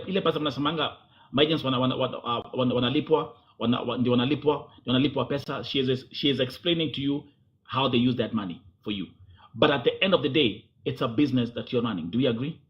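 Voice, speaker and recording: A man's voice: medium pitch, fast, dull audio. A man from Kenya hosting a confrontational interview, pushy and talking over his guest, switching between English and Swahili.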